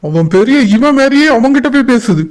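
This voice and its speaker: A man's voice: very high voice, animated, a little fast. A man voicing a jealous, hot-tempered young groom-to-be who grumbles insistently in a village cartoon. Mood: irritated, vengeful. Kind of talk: cartoon voice acting in colloquial dialogue.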